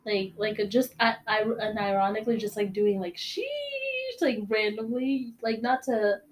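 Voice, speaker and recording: A fairly high-voiced woman in her twenties chats casually as a podcast co-host. She giggles easily and packs her talk with slang and filler words. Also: expressive, unhurried, slight background noise. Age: 20s. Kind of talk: casual chat.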